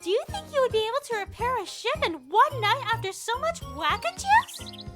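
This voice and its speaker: A woman's voice: very high voice, very animated, normal speed. A woman voicing a prim, bossy, girlish princess in a cartoon comic dub, with a dainty, sugary manner. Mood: resolved. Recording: loud music behind the voice.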